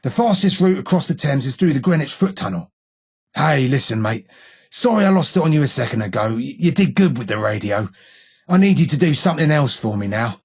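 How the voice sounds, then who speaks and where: An actor playing a gruff, streetwise London gang tough in a video game, with a rough gravelly British working-class accent and blunt, slangy, taunting delivery. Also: male voice, mid-range voice, lively intonation, brisk, darkish tone. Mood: gruff, confiding. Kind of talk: video game character voice lines.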